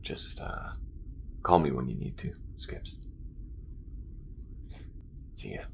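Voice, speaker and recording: A man playing an earnest alien smuggler and loyal friend in an intimate audio roleplay, speaking haltingly and confidingly to the listener in a gravelly voice. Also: wildly swooping pitch, whispered, full character voice. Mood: reassuring, warm. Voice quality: very deep, gravelly. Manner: halting and confiding, with frequent pauses. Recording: music behind the voice.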